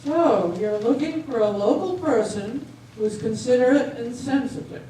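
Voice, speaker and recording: A woman's voice: fairly high pitch, normal speed, dark tone, natural voice. A woman in an amateur stage reading, playing a nosy local newspaper reporter with a wry, teasing manner. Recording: echoey hall, distant mic, faint noise.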